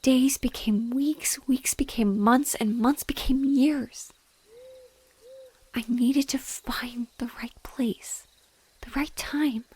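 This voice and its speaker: A mournful banshee, played by a woman, speaks in a soft, breathy whisper on the high side. Her delivery is very slow and tender, full of sorrowful devotion. It is an intimate audio roleplay performance. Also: steady tone, exaggerated voice. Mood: grave, wistful. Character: sorrowful female banshee, gentle and lovingly devoted.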